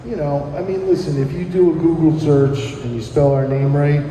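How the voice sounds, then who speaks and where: A middle-aged punk musician with a New Jersey accent, chatting casually in a radio interview with lots of ums and pauses. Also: male voice, a little high, fairly even intonation, warm tone, slight background noise. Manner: hesitant, lots of ums, then sings with guitar. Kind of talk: casual radio interview and live acoustic singing. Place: live radio broadcast in a shopping plaza. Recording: roomy hall from far off. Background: acoustic guitar.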